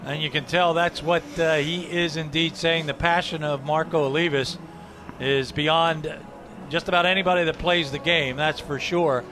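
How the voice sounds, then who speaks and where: A man's voice: fairly high pitch, fairly even intonation, normal speed, background noise. A man giving color commentary and reading game statistics on a broadcast.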